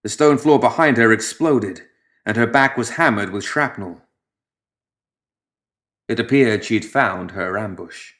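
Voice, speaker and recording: A man with a somewhat low voice narrates a piece of fiction. His delivery is scripted and measured, with a sense of drama. He lets some phrases fall away into a quieter, hushed close, as an audiobook storyteller does.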